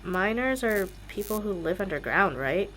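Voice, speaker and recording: Woman acting a wary, animated young musician. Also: medium pitch, normal speed, slight background noise, close to an everyday voice, rough recording. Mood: reluctant. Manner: suspicious, dry, cautious. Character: wary, sceptical young female wandering musician, sensible one. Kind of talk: audio drama acting.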